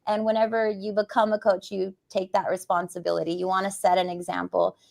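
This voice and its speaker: A woman talks casually as a guest, chatty and quick to laugh. Her pitch sits in the middle for a woman. She is a riding coach speaking at a motorcycle dealership. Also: level tone, gravelly, darkish tone. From West Texas. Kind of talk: casual interview chat.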